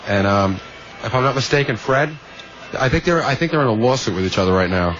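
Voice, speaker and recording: A man on a radio show, chiming in with quick, matter-of-fact information. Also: medium pitch, animated, a bit bright. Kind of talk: casual radio banter. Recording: dull lo-fi tape, faint noise, mic sometimes off.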